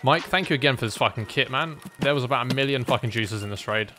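A man streaming a game, chatting casually with a teammate in an excitable, sweary, exclaiming way. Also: mid-range voice, quick pace, music in the background. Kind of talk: casual gaming commentary with a teammate.

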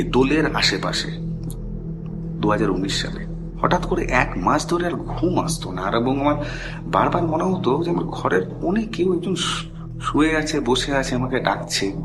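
A middle-aged man tells a personal story in a conversational, halting way, mixing in English phrases. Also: medium pitch. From India. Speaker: caller telling a personal ghost story. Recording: background music under the voice. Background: loud music.

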